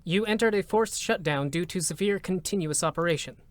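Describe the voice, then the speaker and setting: A man voicing a formal, impassive support robot, with clipped, procedural, deadpan delivery in a dramatic reading. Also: high voice, brisk, dry recording, natural voice. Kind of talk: dramatic reading of game characters. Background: music.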